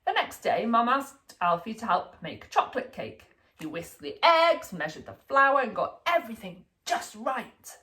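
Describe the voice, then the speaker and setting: A woman reading a children's picture book aloud in an expressive, deliberate storytelling manner for young listeners. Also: fairly high pitch. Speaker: storybook reader, school staff.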